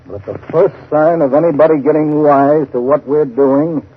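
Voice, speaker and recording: A tinny old radio recording brings in a husky American crime boss. He is a crooked lawyer, curt and uneasy, scheming in clipped 1930s drama style. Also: on the high side, moderate pace. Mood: cold scheming.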